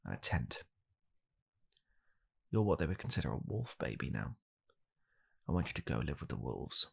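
A young British man in a hushed, halting, deadpan comedic ASMR roleplay, playing a bitter, weary father coldly blaming his child. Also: a bass voice, gravelly, husky. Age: young adult. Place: small home room, indoors.

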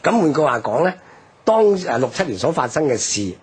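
A man's voice: mid-range voice, very animated, a little fast, husky. An older man with a Hong Kong accent, recounting history in an emphatic, explanatory way on a radio talk.

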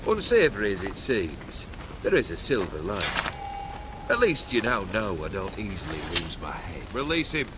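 A roguish, sardonic nobleman swordsman in a game cutscene. His lowish voice swings widely and theatrically through each wry, old-fashioned phrase. Rain and ambience sound clearly behind him. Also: huge pitch swings, moderate pace, background noise. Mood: sardonic, unruffled. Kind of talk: video game cutscene acting. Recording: game audio over rain and fire ambience.

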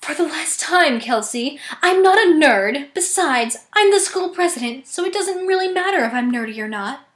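Haughty student president for a cartoon adult female performer, high-pitched, prim, dismissive. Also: expressive, normal speed, echoey room. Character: prim, proud cartoon schoolgirl class president.

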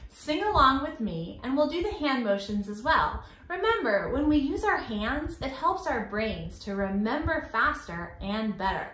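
A woman in her thirties hosting a storytime for young children, encouraging and expressive, speaking to a camera a short distance away indoors. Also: high-pitched, a little slow, few pauses. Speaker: children's library storytime host. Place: small indoor room, echoey. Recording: echoey room.

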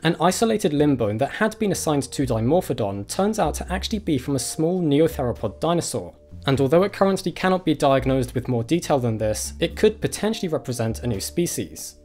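A young man presenting scripted science news in a lively, clear delivery. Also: on the high side, fairly quick, few pauses, faint music behind. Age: young adult. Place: room with bookshelves and dinosaur models.

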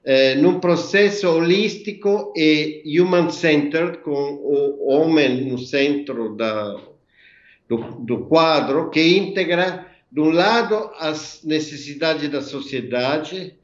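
A man in his sixties with an Italian accent, a native Italian speaker, giving measured, methodical explanations as an interview guest over a video call. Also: on the high side. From Italy. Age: 60s. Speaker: interview guest, architect and designer. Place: indoor workroom, remote video call. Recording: echoey, dull video-call audio.

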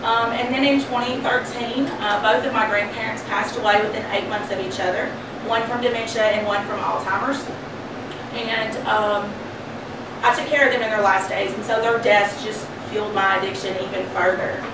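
A woman, giving a halting personal testimony with many ums in a church. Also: mid-range voice, fairly even intonation. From Kentucky, USA. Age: 30s. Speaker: program member giving testimony. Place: church hall. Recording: echoey hall, far mic, noise.

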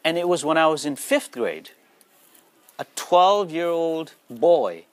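Nepali guy in his 40s, native nepali speaker, earnest school talk, kinda high voice, dry sound. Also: very animated, slow, no echo. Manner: earnest, asks the audience questions.

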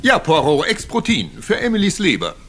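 An actor playing a brusque, dismissive family doctor in a crime radio play. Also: male voice, high-pitched, wildly swooping pitch, normal speed, a bit bright, a little hiss or hum, full character voice. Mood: brusque, scornful.

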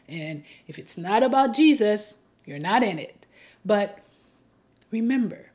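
A woman from Haiti speaks as the host of an advocacy video, in a mid-range voice. Her delivery is scripted and persuasive. She makes her points deliberately, with a wry, rhetorical edge. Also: medium pitch, darkish tone. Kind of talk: scripted persuasive commentary addressed to camera.

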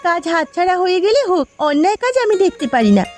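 A woman's voice: extremely high pitch, big pitch swings, fast talker, a little mellow, faint music behind. A woman voicing a proud, protective village housewife in a cartoon, nagging and emphatic as she speaks. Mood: indignant. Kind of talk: cartoon dialogue.

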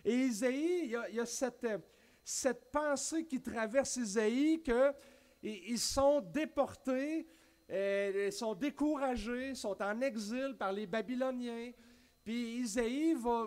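A man in his thirties with a Québécois accent, preaching a sermon from a church pulpit with animated gestures and frequent restarts. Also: very high voice. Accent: Québécois French. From Quebec. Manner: animated, gesturing widely, many restarts and spoken asides. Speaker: pastor. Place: church stage at a wooden pulpit, indoors.

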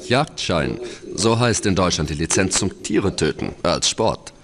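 A man's voice: deep voice, sing-song, measured pace, a crowd in the background, heavily acted. An actor playing a courteous, knowing male lawyer in a video game, polite and explanatory.

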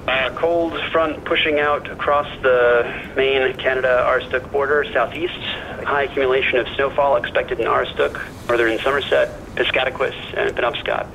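A man's voice: mid-range voice, flat delivery, moderate pace, vocal fry, sharp tone, a little hiss or hum, poor audio. An actor playing a worried, fussy male friend in a naturalistic audio drama, hesitant and fretful. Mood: concerned, informative.

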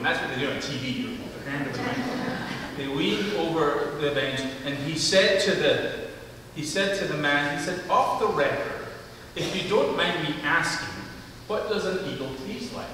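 A man's voice: on the high side, a little hiss or hum. A man in his forties preaching from a pulpit in a large church sanctuary, reading scripture and telling a story before his sermon. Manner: measured, reads scripture then tells a story with animated character voices. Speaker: pastor. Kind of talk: sermon with a told joke. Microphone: pulpit mic, camera at the back. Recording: echoey church hall from far off.

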